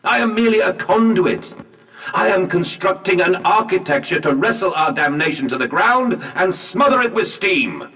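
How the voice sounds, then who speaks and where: Zealous, lightly high-pitched British gentleman. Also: expressive, moderate pace. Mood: righteous indignation. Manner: impassioned, oratorical, precise. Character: fanatical Victorian industrialist, eloquent, righteous, zealous. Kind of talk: theatrical period drama dialogue in a horror game. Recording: distant phonograph-style conversation recording.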